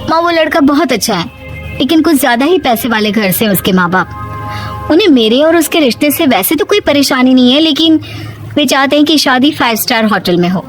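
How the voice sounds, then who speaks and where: A woman plays a lovestruck young daughter in a cartoon tale. Her voice is very high and emotional as she pleads, with faint music behind. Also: expressive, moderate pace. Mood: pleading.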